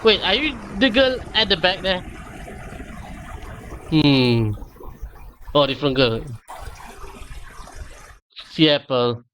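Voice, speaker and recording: A man's voice: on the high side, slow pace, pauses often, a bit bright, a little hiss or hum, low-quality recording. A man giving casual gameplay commentary on a livestream, murmuring short reactions as he thinks aloud.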